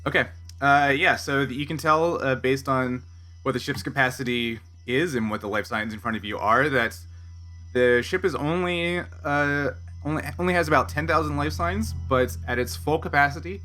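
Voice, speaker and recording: A man runs a tabletop roleplaying game as its game master. His voice is bright and clear-cut, at an average male pitch. He makes up descriptions and rulings as he goes, with faint music underneath. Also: normal speed. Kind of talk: improvised narration and rules talk in a tabletop roleplaying game.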